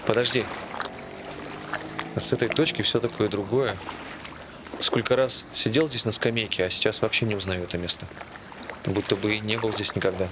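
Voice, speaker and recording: An actor playing a dry, sardonic friend who provokes and teases in laconic, casual stage dialogue. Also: male voice, on the low side, fairly even intonation, normal speed, pauses often, close to an everyday voice. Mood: musing. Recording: clear ambient background noise.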